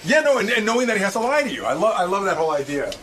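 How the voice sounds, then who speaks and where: A man's voice: high voice, big pitch swings, racing, a bit bright. A man interjecting in studio conversation, insistent and repeating himself as he makes his point.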